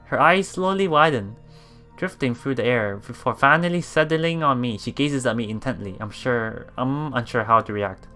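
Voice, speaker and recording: A let's play streamer reading game narration aloud haltingly and adding casual commentary. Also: mid-range voice, pushed and bright, faint music behind.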